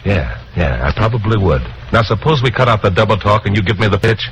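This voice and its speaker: A man's voice: lowish voice, a little fast, noisy background, natural voice. An American actor playing a hard-boiled, wary male newscaster in a 1950s radio drama, on an old recording. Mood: indignant. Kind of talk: 1950s American radio drama acting, first-person narration. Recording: old radio recording under loud music.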